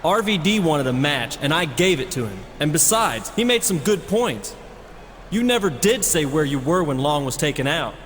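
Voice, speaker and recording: A man's voice: fairly high pitch, sing-song, moderate pace, a bit bright, big-room sound, slight background noise. A man playing a blunt, reluctant wrestling boss in a video game, skeptical and matter-of-fact. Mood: firm, skeptical. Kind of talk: video game cutscene acting.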